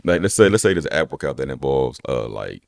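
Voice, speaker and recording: A young man with a very deep, low voice talks quickly and loosely as a podcast guest. He is a personal trainer explaining his approach in an easygoing, rambling way. The sound is dry and close. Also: gravelly, no echo. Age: young adult. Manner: relaxed, rambling, lots of "you know what I'm saying". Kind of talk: casual conversation.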